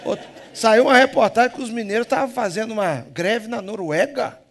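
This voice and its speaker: A man with a Minas Gerais Brazilian accent, preaching a humorous sermon in church, jumping between loud emphatic lines and soft asides. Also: sits high, brisk. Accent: Minas Gerais Brazilian Portuguese.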